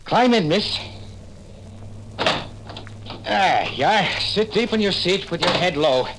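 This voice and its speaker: A man's voice: sits high, lively intonation, takes it slowly. An actor playing a haunted, bewitched truck driver in a 1940s radio drama, with a dramatic, brooding way of talking. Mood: gruff, friendly. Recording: very noisy old radio recording.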